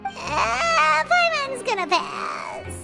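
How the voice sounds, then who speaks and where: A woman voicing a tiny, chirpy, childlike fairy companion in a video game, with a squeaky voice. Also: sing-song, drawn-out words, full character voice, low-quality recording. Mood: hesitant. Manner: speaks of self in third person. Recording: loud music under the voice.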